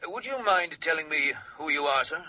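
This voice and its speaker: An actor playing a formal, guarded chief inspector heard through a telephone filter in a radio drama, with clipped speech. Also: male voice, a little high, expressive, moderate pace, bright, forceful tone, dry recording, heavily acted, low-quality recording. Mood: guarded, official. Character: curt, probing police chief inspector on telephone.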